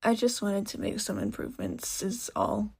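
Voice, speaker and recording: Shy teen boy for an audio story, read by a woman: nervous, courteous, stumbling over words, slightly husky. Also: medium pitch, big pitch swings, moderate pace, a little mellow, heavily acted. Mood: flustered. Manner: hesitant, stammering, polite.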